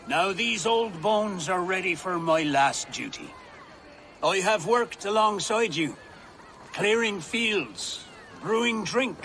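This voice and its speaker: Slow, solemn old village king. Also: sits high, bright, forceful tone, a little hiss or hum, heavily acted. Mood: solemn, proud. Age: older. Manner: measured, ceremonial delivery. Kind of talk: dramatic game cutscene acting.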